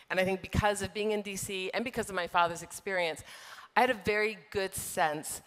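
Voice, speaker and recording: Low-voiced woman in an echoing hall. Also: a bit bright. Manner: measured, with frequent fillers and restarts. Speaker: software trade group executive, guest. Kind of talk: panel interview answers. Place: stage in a conference room. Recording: long reverb of a large hall.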